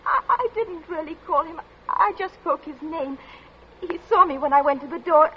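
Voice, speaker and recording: An actress playing a frightened, sheltered young daughter in 1940s radio drama, halting and hysterical. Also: female voice, animated. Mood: anxious, evasive. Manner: hysterical, halting, high-strung. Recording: muffled, noisy old radio recording.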